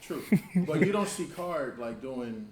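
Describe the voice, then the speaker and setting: A man's voice: mid-range voice, lively intonation, a little slow, reverberant. A man co-hosting a reaction show, talking in a laid-back, questioning way with hesitant fillers. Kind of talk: casual group chat reacting to a music video.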